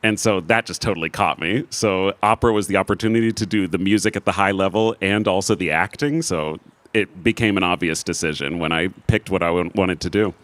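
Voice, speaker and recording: A man's voice: sits low, brisk, fairly bright tone, no room sound. A man who sings opera, telling his own story casually as an interview guest, good-humored and self-deprecating.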